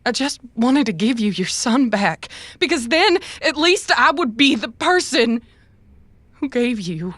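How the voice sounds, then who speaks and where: A woman playing a sorrowful schemer who justifies herself, halting and pleading, in a cyberpunk audio drama. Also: fairly high pitch, sing-song, a bit bright, no echo, full character voice. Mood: pleading confession.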